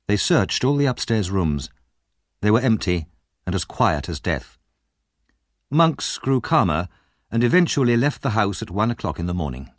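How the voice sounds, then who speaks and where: A man narrating a scripted story as an audiobook reader, measured and dramatic. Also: on the low side, sing-song, no echo, studio quality.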